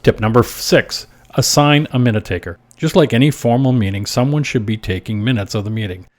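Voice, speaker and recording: A man hosting a short advice podcast, reading scripted tips in a measured, instructional manner. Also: mid-range voice.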